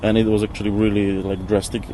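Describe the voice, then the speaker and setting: A man, speaking in a halting, searching way during a reflective interview. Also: fairly low pitch, little pitch movement, warm tone. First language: not English. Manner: halting, searching for words with repetitions. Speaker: interview guest, former architect. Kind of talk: casual reflective discussion. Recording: noisy outdoor ambience with birds, traffic.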